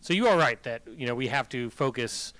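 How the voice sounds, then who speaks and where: A man's voice: medium pitch, lively intonation, a bit bright, dry recording. A man presenting at a public meeting, explaining how sites get investigated, with frequent restarts. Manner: halting, restarts while explaining. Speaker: engineer presenter.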